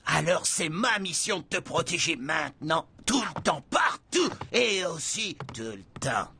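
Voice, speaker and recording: An actor playing a brash, dim, overzealous schoolboy who acts as a bossy bodyguard in a cartoon. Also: male voice, on the high side, big pitch swings, a little slow, vocal fry, bright, forceful tone, slightly rough, heavily acted. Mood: solemn, overprotective. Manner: bossy, blustering, earnest. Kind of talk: animated cartoon dub acting.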